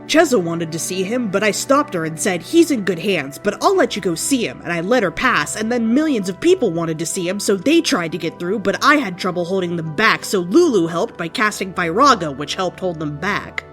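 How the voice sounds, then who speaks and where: Woman, lowish pitch, mock-serious story narration, comic fan-fiction reading, music underneath. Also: animated, fairly quick, few pauses, a bit bright. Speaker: story reader.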